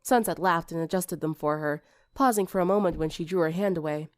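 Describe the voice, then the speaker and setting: A woman's voice: on the low side, a little fast, dry recording, clean studio sound. A woman reading scripted fiction as an audiobook narrator.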